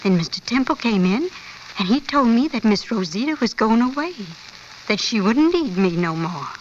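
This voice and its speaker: A woman's voice: fairly high pitch, expressive, normal speed, lightly acted. A woman acting a meek, loyal, plain-spoken maid in an old radio drama. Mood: uneasy, plain. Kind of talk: 1940s-50s American radio drama acting. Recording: muffled old radio recording, faint hiss.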